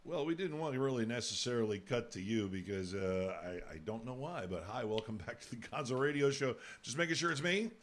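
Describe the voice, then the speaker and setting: A man with a big booming voice hosting a casual live radio show, talking in a rambling, halting way.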